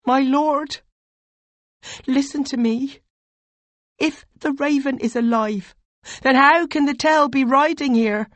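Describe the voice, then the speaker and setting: A woman's voice: sits high, slow, pauses often, no room sound, voice pushed up. A woman voicing an anxious young aide who urgently begs his lord. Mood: pleading. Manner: urgent, pleading.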